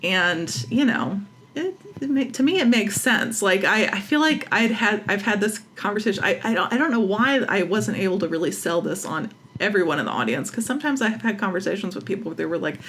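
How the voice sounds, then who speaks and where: Female interview guest, writer; creaky mid-range voice, casual, self-correcting delivery, slight background noise. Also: mid-range voice, lively intonation, a bit bright. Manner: halting, searching for words, self-correcting.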